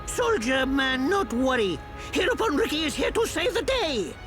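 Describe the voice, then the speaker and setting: A man voicing a small, cheerful, brave furry creature in an animated game story, childlike and speaking of himself in the third person with broken grammar. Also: very high-pitched, normal speed, over music. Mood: plucky, eager.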